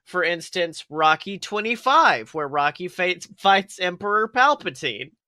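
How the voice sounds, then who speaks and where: Casting: male comedy podcaster, bright voice, rambling and exclamatory, close dry sound. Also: high voice, sing-song, unhurried, no room sound. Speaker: podcast co-host. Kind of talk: casual comedic banter.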